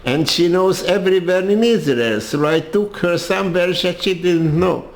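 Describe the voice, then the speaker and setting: An older man with a Hungarian accent telling his life story in an interview, halting and restarting his sentences often. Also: sits high, reverberant. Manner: halting, with many restarts and repeated words. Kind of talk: personal storytelling.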